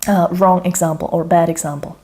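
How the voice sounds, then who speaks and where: A woman's voice: lowish voice, steady tone, fairly quick, dark tone. A woman whose first language is Slovak, talking through her language study routine, chatty and self-correcting, often checking in with "okay?". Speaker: language mentor. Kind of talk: thinking aloud while demonstrating a study method.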